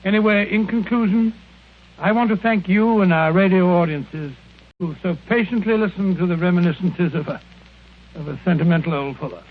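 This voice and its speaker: An actor playing a sentimental, genial old British gentleman with a hesitant, bumbling manner on a radio drama. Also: high-pitched, steady tone, brisk. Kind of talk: 1930s radio drama acting. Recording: tinny, noisy old radio recording.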